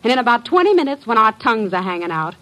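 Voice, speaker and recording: An actress playing a catty, bitter wife, needling with sarcastic mock formality in old-time radio drama style. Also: female voice, a little high, very animated, fast, bright, forceful tone, rough recording.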